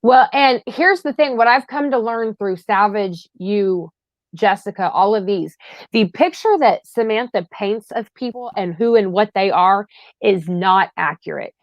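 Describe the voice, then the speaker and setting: A woman hosting a casual chat, with a mid-pitched voice recorded dry and close. She keeps up a stream of supportive affirmations and turns indignant when she makes her own points. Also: animated, measured pace, dry recording, highs cut off. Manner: agreeable, supportive, frequent affirmations. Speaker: host.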